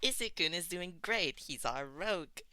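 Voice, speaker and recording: A man's voice: sits high, lively intonation, normal speed, pushed and bright, no room sound, clean studio sound, pitched above the performer's own voice, exaggerated voice. A man playing a cheerful, slightly crazy teenage girl villain in a story reading.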